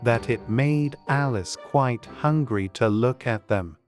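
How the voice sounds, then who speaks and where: A man's voice: mid-range voice, slow pace, faint music behind. A man reading scripted storybook narration as an audiobook narrator.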